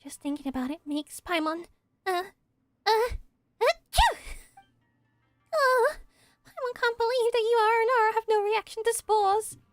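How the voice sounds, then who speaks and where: A woman voicing a small, excitable, whiny and chirpy fairy girl companion from a video game, with dramatic exclamations. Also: extremely high pitch, unhurried, long pauses, dry recording, soft background music. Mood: fussy, exasperated. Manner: exclamatory, dramatic, refers to herself by name.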